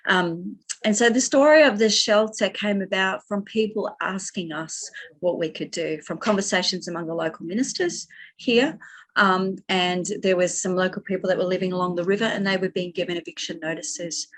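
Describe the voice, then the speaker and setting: Australian woman chaplain, slightly low and scratchy, passionate and earnest. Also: normal speed. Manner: passionate, earnest storytelling with many fillers. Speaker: minister and chaplain. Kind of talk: online workshop talk.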